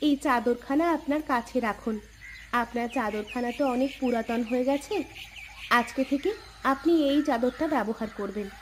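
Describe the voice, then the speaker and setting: Woman playing high-pitched, humble village wife. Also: normal speed, a little hiss or hum, natural voice. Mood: reverent, kind. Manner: respectful, earnest. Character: humble, devout, kind young village wife. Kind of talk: Bengali cartoon story voice acting.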